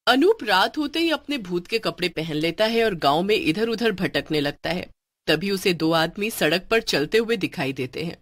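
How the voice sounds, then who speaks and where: A woman narrating a cartoon story in a lively storytelling manner. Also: lowish voice, normal speed, dry recording, clean studio sound.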